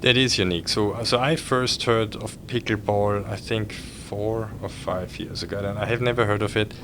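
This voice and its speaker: A middle-aged man with an Austrian accent talks in a low, fairly flat voice. He halts often, filling gaps with uhs and restarting his sentences. A steady hum sits underneath the recording. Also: moderate pace. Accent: Austrian German. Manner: hesitant, many fillers and restarts. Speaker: cruise line executive. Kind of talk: podcast conversation.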